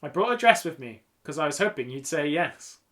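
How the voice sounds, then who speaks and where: Audiobook schoolgirl, male reader: excitable, encouraging, high and animated. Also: a little fast. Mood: excited, persuasive. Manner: eager, gushing, teasing. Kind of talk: children's audiobook character reading.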